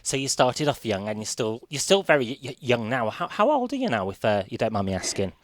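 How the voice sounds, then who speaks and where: A man's voice: mid-range voice, sing-song, quick pace, no room sound. A British man with a mid-range voice hosts a conversational radio interview. He feels his way through questions hesitantly, stammering over and repeating words. The recording is close and dry, free of room sound. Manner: hesitant, stammering word repetitions.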